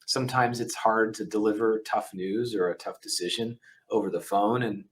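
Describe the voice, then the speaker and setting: A man's voice: fairly low pitch, fairly even intonation, moderate pace. A man who runs a company, a guest in an interview, speaking candidly and thinking aloud with fillers. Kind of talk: interview conversation.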